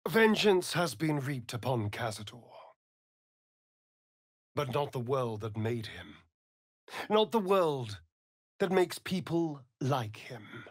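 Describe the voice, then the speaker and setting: Game role: vain, sardonic vampire rogue. British, arch, slow drawl, highly varied intonation. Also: male voice, very animated, no room sound, studio quality. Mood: grave. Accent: British English. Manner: theatrical, arch, drawling with dramatic emphasis. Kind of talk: video game character voice acting.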